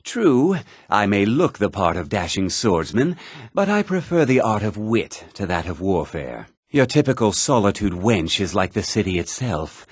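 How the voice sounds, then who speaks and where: An actor playing a vain, pompous former ship's captain and fletcher with flowery speech in a video game. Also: male voice, on the low side, big pitch swings, moderate pace, slightly rough, no room sound, clean studio sound. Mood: smug, genteel. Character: vain, silver-tongued male ex-captain and fletcher. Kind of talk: video game character lines.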